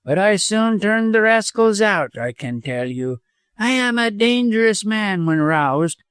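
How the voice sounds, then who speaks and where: A man's voice: high voice, animated, unhurried, fairly bright tone, clean tone, no echo, studio quality, pitched above the performer's own voice. A man voicing a venomous, cowardly lawyer villain with a harsh, snarling manner in an audiobook story. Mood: smug, defiant. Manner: snarling, gasping, harsh, chuckling.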